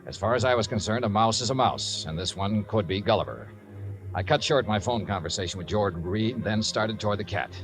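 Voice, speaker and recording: A man's voice: fairly low pitch, animated, a little fast, over music, lightly acted, rough recording. An actor playing a wry, skeptical male investigator with a wisecracking manner, in 1950s radio drama on a vintage broadcast. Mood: wry narration. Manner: dry, wisecracking. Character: wry, skeptical male insurance investigator narrating his case.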